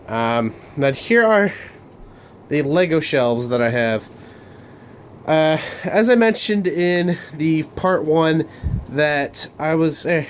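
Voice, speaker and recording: A young man hosting a casual room tour vlog of his bedroom studio, talking haltingly with many fillers and restarts while coming down with a cold. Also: medium pitch, slight background noise. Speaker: Lego channel host.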